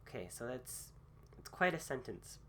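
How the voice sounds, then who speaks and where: A man recovering from a cold gives a casual philosophy talk with many pauses, fillers and restarts. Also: medium pitch, big pitch swings, bright, forceful tone, a little hiss or hum. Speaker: host.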